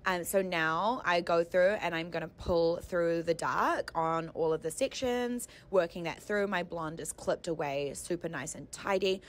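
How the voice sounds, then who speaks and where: A young woman giving a casual voice-over tutorial as a hair colourist, chatty and explanatory in an informal way. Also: low voice.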